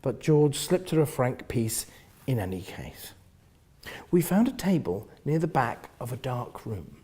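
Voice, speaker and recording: A man with a husky voice reading first-person literary fiction in an expressive, theatrical manner, often hushing the ends of phrases. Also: medium pitch, unhurried. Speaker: reader of a novel.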